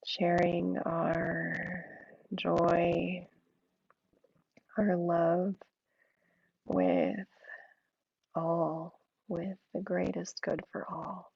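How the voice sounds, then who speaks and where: Slow, gravelly-voiced woman yoga teacher. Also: fairly low pitch, little pitch movement, no echo, highs cut off. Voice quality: gravelly, froggy voice. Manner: slow, soothing, drawn-out cues. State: hoarse from an allergy attack. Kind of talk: guided yoga instruction.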